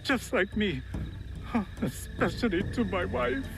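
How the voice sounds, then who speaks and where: A man's voice: high voice, measured pace, creaky, over music. A man playing a cowardly, self-pitying gambler husband on the run, jittery and pleading, in a video game cutscene. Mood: resigned, flustered.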